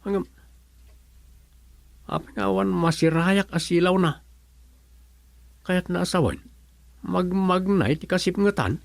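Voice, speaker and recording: A fairly high-pitched Filipino man, an actor, plays an exasperated son in a comedy radio play. He mutters to himself and fusses at his old father. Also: slow, creaky, pauses often, no echo, heavily acted. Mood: grumbling, searching. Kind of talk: Ilocano comedy radio drama acting.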